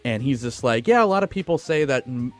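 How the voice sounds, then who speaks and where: A man's voice: mid-range voice, expressive, a little fast. Male podcast host, mid-range voice, casual and sweary movie talk, music underneath. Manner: swears freely, crude joking. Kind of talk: casual film chat.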